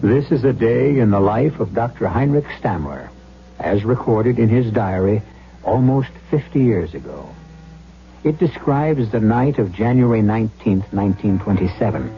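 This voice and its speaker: A man hosting and narrating a radio drama in a measured, ominous, scripted style. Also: fairly low pitch, lively intonation, a little hiss or hum. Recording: dull old radio recording.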